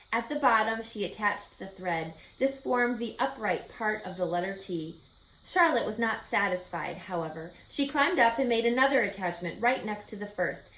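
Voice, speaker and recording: A woman reading a children's story aloud in an expressive, emphatic and explanatory way. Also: medium pitch. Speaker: storybook reader. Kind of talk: animated children's read-aloud narration. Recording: roomy echo with faint background noise.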